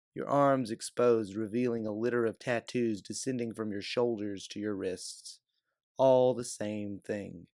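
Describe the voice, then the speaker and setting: Male audiobook narrator for scripted adventure fiction: low register, some fry, very dry room sound. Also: darkish tone, dry recording.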